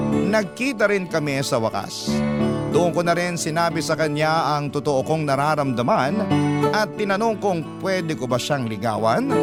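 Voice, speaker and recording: An actor playing a sincere, sentimental man narrating his own love story in an earnest, measured way for radio. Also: on the high side. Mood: happy, sentimental. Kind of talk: scripted first-person radio story narration.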